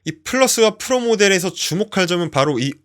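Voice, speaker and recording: Higher-pitched man with lively, varied intonation. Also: fairly quick. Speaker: tutorial presenter. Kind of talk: instructional screen walkthrough, explanatory.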